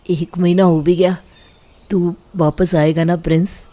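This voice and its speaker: A woman's voice: lowish voice, little pitch movement, unhurried, dark tone, close to an everyday voice. A woman voicing an earnest, mild married older brother in a cartoon family story. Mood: earnest, surprised. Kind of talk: cartoon dialogue.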